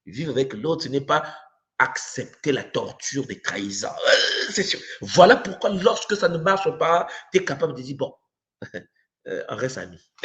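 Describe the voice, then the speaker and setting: Animated Congolese man teaching. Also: mid-range voice. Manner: rhetorical questions, acts out imagined dialogue, repeats for emphasis. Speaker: spiritual teacher. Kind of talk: impassioned spiritual teaching to an online audience.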